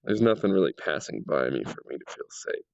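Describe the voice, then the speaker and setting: A man with a cold and a slightly raspy voice, casually commenting as he tests his game world and thinks aloud with fillers. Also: very deep voice, lively intonation, brisk, dry recording, highs cut off. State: sick and a little raspy.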